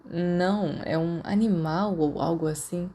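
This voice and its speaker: Lady reading as a quiet serious tipsy young guy, guarded, low mellow audiobook voice. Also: unhurried. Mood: tipsy, puzzled. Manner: understated, serious. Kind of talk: audiobook character dialogue.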